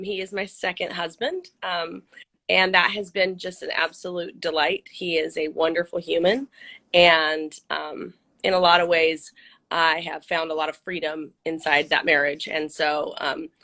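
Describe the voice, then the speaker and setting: A middle-aged woman from Central California, a little hoarse, talking casually and upbeat with lots of ums and restarts in an interview. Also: lowish voice, bright, forceful tone. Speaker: interview guest, nonprofit CEO. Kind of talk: casual video-call interview.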